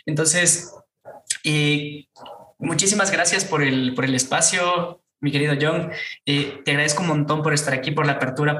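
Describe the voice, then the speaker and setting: Bright-voiced man hosting in an echoey room. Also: on the high side. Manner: warm and effusive, many fillers. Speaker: podcast host. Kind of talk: casual interview hosting.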